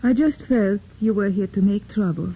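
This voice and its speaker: An actress playing a grieving young widow, quiet and firm, in 1940s radio acting. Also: female voice, medium pitch, normal speed, husky. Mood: bitter. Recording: tinny old radio recording.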